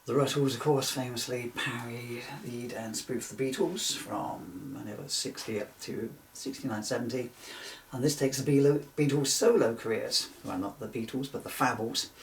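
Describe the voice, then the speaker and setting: A man's voice: mid-range voice, steady tone, moderate pace, a little hiss or hum. Male reader for comic parody book, loose and rambling, easily amused, recorded off-mic in an echoey room. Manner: rambling, often breaking into giggles at own jokes. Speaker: author reading his parody book. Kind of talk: informal comic book reading with asides. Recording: roomy echo, distant microphone.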